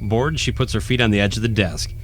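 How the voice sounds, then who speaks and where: Male narrator, fairly low pitch, scripted horror storytelling, radio play, prominent music bed. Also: fairly even intonation, fairly quick. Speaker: narrator, podcast host. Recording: music playing behind the voice.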